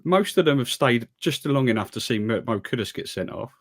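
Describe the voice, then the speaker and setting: A man's voice: medium pitch, expressive, fairly quick. A man co-hosting a football podcast, ranting with exasperation and stammering restarts. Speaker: podcast co-host. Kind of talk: casual football chat.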